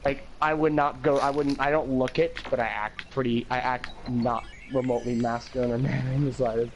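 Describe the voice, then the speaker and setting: A man's voice: brisk, slight background noise. Rambling teen guy with a slight lisp. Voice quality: higher-pitched voice with an occasional lisp. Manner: talkative, rambling with many "like"s, swearing, animated laughs. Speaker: livestream host. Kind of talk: casual chat on a game livestream.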